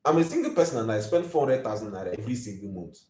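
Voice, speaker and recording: A man's voice: fairly low pitch, big pitch swings, very fast, a little mellow, roomy sound. A Nigerian man in his twenties hosting a live video, ranting emphatically and preachily and repeating his points. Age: 20s.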